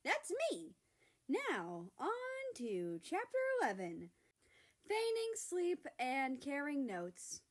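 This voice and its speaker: A woman's voice: high voice, huge pitch swings, slow pace. A woman narrating a piece of fiction, reading it aloud as a storyteller.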